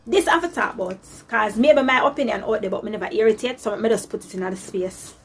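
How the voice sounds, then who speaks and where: A woman's voice: mid-range voice, quick pace, a little hiss or hum. A woman with a Jamaican accent giving her opinion casually and assertively, slipping into patois.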